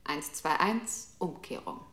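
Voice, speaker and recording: A woman's voice: sits low, animated, slow pace, bright, forceful tone, heavily acted. A woman playing a computer's control unit in a 1960s German experimental radio drama, announcing numbers and commands in a clipped, formal manner. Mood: impersonal, procedural.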